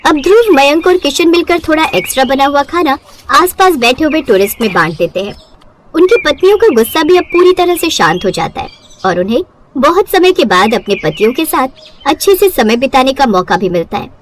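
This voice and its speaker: A female narrator tells a scripted bedtime tale. Her voice sits high, and her intonation moves in lively, wide swings. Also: fairly quick, slight background noise.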